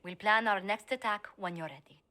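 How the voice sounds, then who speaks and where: A poised, shrewd court advisor, acted by a woman, voiced at a steady middle pitch. She lays out her counsel in measured, formal phrasing and persuades without raising her voice. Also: close to an everyday voice. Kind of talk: video game voice acting.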